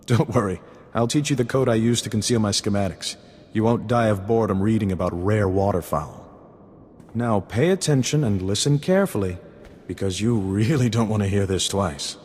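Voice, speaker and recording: Sly courteous smuggler informant, echoing hall. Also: male voice, on the low side, normal speed, darkish tone, breathy edge. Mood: smug, instructive. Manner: florid, mock-polite, wordy. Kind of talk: video game character dialogue. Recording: reverberant hall sound under music.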